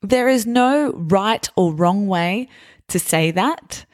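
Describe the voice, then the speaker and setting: A woman hosting a podcast for English learners, explaining words in a slow, careful, scripted way. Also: medium pitch, lively intonation, no room sound, studio quality. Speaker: podcast host.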